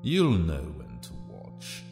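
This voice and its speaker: A man playing a cryptic, ominous doctor in a horror audio drama, speaking gravely and commandingly. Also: rumbling low, huge pitch swings, takes it slowly, darkish tone, soft background music, heavily acted. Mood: ominous warning.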